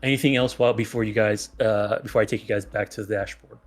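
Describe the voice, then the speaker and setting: A man running a tabletop game as game master, improvising narration and speaking haltingly with frequent pauses. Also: lowish voice, fairly even intonation, soft background music.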